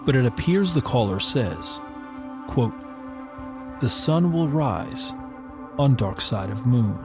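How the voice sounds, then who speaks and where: A man, a podcast host, telling a story in slow, deliberate scripted narration. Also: mid-range voice, animated. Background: music.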